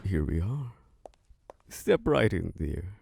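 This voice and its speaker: A man voicing an ancient, charming and possessive male vampire lord who hosts a masquerade, speaking in a slow, seductive, close-mic ASMR roleplay with soft chuckles. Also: big pitch swings, noisy background, full character voice. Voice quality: low gravelly voice. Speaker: castle host.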